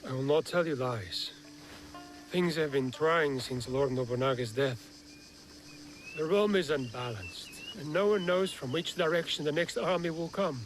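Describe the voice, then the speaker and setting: A man's voice: a little high, a little slow, faint music behind, close to an everyday voice, low-quality recording. An actor playing a courteous, devout European man in a video game, speaking in a formal, reflective way. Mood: solemn, confiding. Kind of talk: video game cutscene acting.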